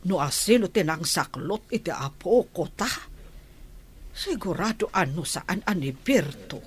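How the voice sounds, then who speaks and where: A woman playing an excitable, doting older village grandmother in a radio drama, with an Ilocano accent. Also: fairly low pitch, a little slow. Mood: excited, fond.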